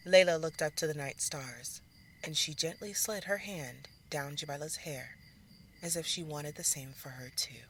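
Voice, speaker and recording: A woman reading scripted narration for a fantasy story as its narrator. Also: low-pitched. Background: faint music.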